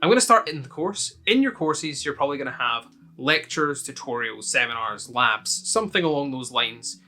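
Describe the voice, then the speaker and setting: A man's voice: mid-range voice, lively intonation, normal speed, bright, forceful tone, faint music behind. A young Scottish man, a university student, giving friendly, step-by-step advice as he answers viewers' questions one by one. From Scotland. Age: young adult. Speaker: student video host. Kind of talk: casual advice talk to camera.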